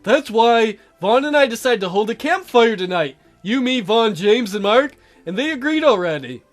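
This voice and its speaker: A man voicing an awkward teenage boy in a dating sim, halting and offhand, gently reproachful toward a friend. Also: very high-pitched, expressive, faint music behind. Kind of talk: dating sim dialogue read aloud.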